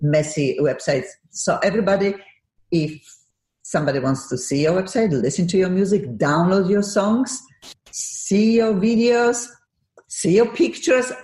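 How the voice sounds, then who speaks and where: A woman's voice: medium pitch, measured pace, darkish tone. Woman, Polish accent, radio host, effusive chatter, echoey room. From Poland. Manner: effusive, with frequent laughs and some broken English. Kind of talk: casual radio interview. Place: radio studio.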